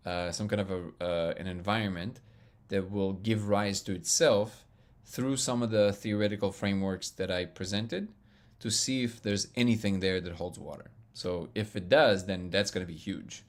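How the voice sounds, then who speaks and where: A man hosting a casual live stream, reading viewer comments and answering them in an excitable, loud, booming voice, laughing often. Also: moderate pace, few pauses. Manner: excitable, laughs often, reads chat aloud. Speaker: livestream host answering chat.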